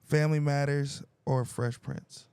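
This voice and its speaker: Host guy, kinda high, smooth voice, dry close mic, chill slang. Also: unhurried, no room sound. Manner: relaxed slangy questions. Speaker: podcast host. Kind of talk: casual interview.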